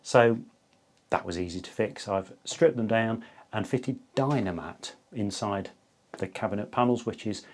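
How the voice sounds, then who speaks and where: A man in his fifties telling a story casually to camera as a video host, chatty and enthusiastic, pausing for emphasis. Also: on the low side, a little hiss or hum. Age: 50s.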